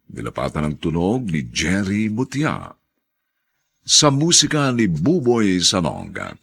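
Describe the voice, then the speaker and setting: A man's voice: lowish voice, creaky, a bit bright, breathy edge. A man with a Filipino accent narrating and announcing a radio drama in a solemn, theatrical style.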